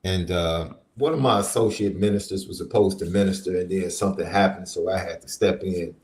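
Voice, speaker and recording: A man with a stuffy summer cold hosting a casual sports podcast, animated and laughing often. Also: low voice, brisk. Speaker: podcast host and minister. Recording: roomy echo.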